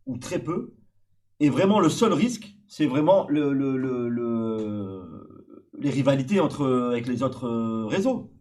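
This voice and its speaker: A man's voice: mid-range voice, big pitch swings, slow pace, darkish tone. A man from France, older than his host, chatting casually and explaining at length with many hesitations. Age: adult older than the host. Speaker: stream guest. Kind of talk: casual chat.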